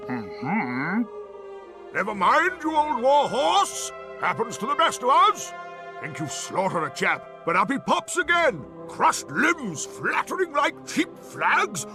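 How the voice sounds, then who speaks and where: A hearty old warrior with an upper-class British accent, pompous and blustering in a video game. His high voice draws words out slowly over prominent music. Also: heavily acted. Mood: surprised bluster. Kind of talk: video game comic character acting.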